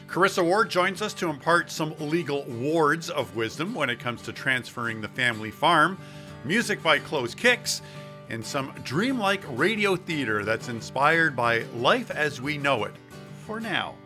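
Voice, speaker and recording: A man's voice: on the high side, expressive, unhurried, pushed and bright, faint music behind. A man hosting a local podcast, reading his narration in a wry, playful way and putting questions to guests. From Ontario, Canada. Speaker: podcast host. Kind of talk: scripted podcast narration and interviewing.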